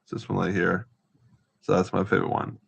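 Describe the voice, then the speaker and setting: A man giving a casual, rambling show-and-tell as an amateur vlogger, haltingly searching for words and repeating himself, on muffled home video audio. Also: deep voice, little pitch movement, vocal fry, breathy edge. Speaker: amateur vlogger showing gear.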